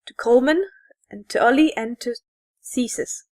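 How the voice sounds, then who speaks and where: High-voiced woman in casual chat. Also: normal speed. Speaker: podcast co-host.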